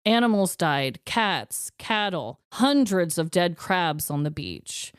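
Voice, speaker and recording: Female podcast co-host, animated and smooth-voiced, casual storyteller, candid and informal. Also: on the low side, slow pace, no echo, clean studio sound. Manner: informal, candid, occasionally swearing.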